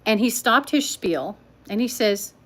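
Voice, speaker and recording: Chatty older woman, slightly high voice. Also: animated, measured pace, fairly bright tone. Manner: chatty, laughs often, talks to viewers in the chat. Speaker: livestream storyteller. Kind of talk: casual livestream chat. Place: home living room.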